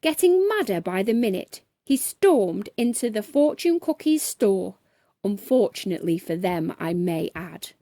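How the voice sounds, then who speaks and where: A woman's voice: mid-range voice, sing-song, measured pace, warm tone, no echo, studio quality. A woman narrating a children's bedtime story expressively, as a storyteller reading aloud.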